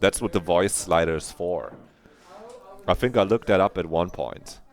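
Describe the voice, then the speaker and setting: A man's voice: low-pitched, normal speed. A man streaming a visual novel, musing aloud in casual commentary that trails off.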